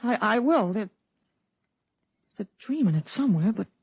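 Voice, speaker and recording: A thoughtful young boy of thirteen played by a woman in a 1950s radio drama. The voice is husky and dry, with lively swings of pitch. The delivery is polite but guarded, often stammering. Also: normal speed, no echo. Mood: hesitant, subdued.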